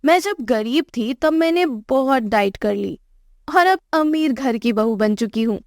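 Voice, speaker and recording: High, cheeky young bride, played by a woman. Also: lively intonation, unhurried, dry recording, clean studio sound. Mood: defiant. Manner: cheeky, defiant. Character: brazen, gluttonous young daughter-in-law from a poor village. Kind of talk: Hindi moral-story cartoon dialogue.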